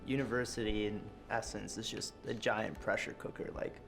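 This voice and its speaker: Guy student, low gravelly flat voice, dry and matter of fact. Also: moderate pace, soft background music. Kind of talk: casual roundtable talk.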